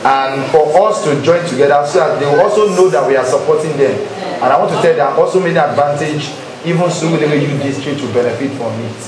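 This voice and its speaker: A man's voice: on the high side, fast. A man with a Nigerian Yoruba accent speaks as a church youth leader, exhorting a congregation with repeated emphasis. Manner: rhetorical questions to listeners, repetitive emphasis. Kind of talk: exhortation to a congregation, leading a pledge. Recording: very echoey hall with crowd noise. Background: congregation murmur.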